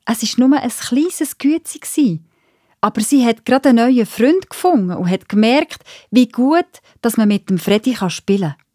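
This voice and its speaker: A woman's voice: a little high, expressive, normal speed, darkish tone, no room sound, clean studio sound. A woman with a Swiss German accent narrating a children's story. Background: music.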